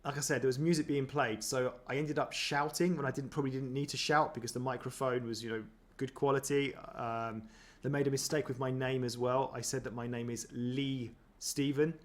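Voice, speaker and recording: Man, casual vlog reaction, chatty, self-deprecating, fillers and restarts, mid pitch. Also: little pitch movement, brisk, few pauses. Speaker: running vlogger.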